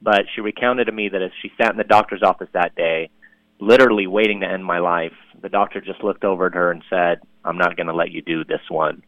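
A man born in Lebanon, a pastor guest on a radio interview, telling his story in a relaxed way with light humor. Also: low voice, fast, no room sound, narrow, tinny audio.

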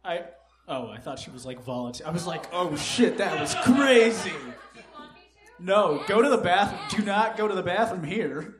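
A man's voice: high voice, lively intonation, moderate pace, a crowd in the background. A man hosting a live comedy quiz, joking, swearing and laughing often. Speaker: comedy show host. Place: live comedy venue with audience. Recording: echoey live room, faint noise.

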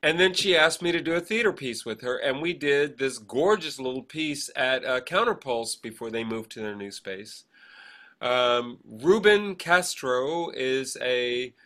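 Bright, forceful-voiced man chatting on a podcast. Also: a little high. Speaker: podcast co-host. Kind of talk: casual chat, rambling birthday shout-outs.

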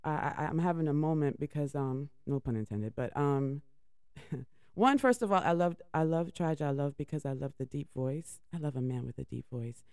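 Middle-aged lady radio host, deep voice, rambly and chatty, dry close mic. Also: moderate pace, darkish tone, dry recording. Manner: chatty, laughing, rambling with restarts. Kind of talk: casual radio talk.

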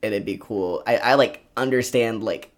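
A man's voice: lowish voice, normal speed. A young man co-hosting a podcast in casual comedic banter, rambling and swearing freely. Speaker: podcast co-host.

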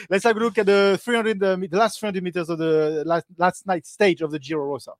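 A man with a French accent co-hosting a cycling podcast in casual, relaxed chat. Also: sits high, a little hiss or hum. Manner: relaxed, with frequent fillers and quick agreement. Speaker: podcast co-host.

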